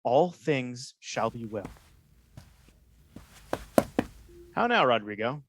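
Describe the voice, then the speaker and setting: A man playing a smooth-talking, scheming soldier in a Shakespearean radio play, persuasive and placating. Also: medium pitch, very animated, unhurried, very noisy. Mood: soothing.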